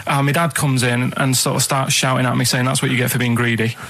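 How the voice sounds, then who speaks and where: A man's voice: medium pitch, little pitch movement, creaky, a bit bright. Rambling Mancunian man telling a story. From England. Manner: rambling, halting, plain-spoken anecdotes with many restarts. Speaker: radio show regular. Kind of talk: casual radio storytelling.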